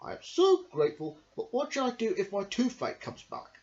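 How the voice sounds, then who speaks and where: A storyteller voicing a big, snappy male crocodile in a loud, possessive manner for a children's storybook. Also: medium pitch, huge pitch swings, normal speed, voice pushed up. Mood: grateful, friendly. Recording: dull lo-fi home recording.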